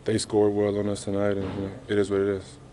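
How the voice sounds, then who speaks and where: A man in his thirties answering reporters after a game, halting and subdued, with many fillers. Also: fairly low pitch, monotone, mellow, slight background noise. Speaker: basketball player at a postgame press conference. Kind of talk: postgame press interview. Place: arena press room, indoors. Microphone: reporters' handheld mics held close.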